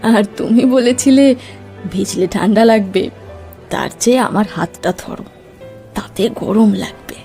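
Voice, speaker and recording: An actress playing a gentle, nostalgic middle-aged woman reunited with an old love in a romantic audio drama, reflective and soft. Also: mid-range voice, unhurried, pauses often, faint music behind, lightly acted. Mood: fond nostalgia.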